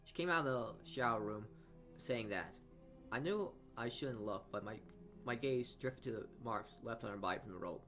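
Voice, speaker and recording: A man's voice: mid-range voice, fairly bright tone, soft background music. A man reading a visual novel aloud as a let's-player, stumbling over lines and adding his own asides. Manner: halting reading with frequent stumbles. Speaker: video game let's-player.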